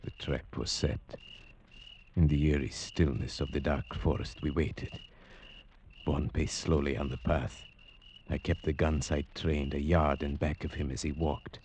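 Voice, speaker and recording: Deep-voiced, haunted radio-suspense hero. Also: male voice, little pitch movement, darkish tone, a little hiss or hum. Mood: grave, suspenseful. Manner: measured, grave, theatrical delivery. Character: earnest male narrator-hero haunted by dread, foreign gentleman. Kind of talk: 1940s-50s radio drama acting, suspense narration. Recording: vintage radio drama with music behind.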